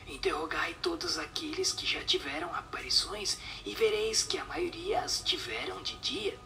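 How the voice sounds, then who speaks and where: An actor voicing a solemn spirit that gives measured, instructive answers in a breathy whisper in a radio drama. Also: high-pitched, big pitch swings, distant mic, music in the background, heavily acted.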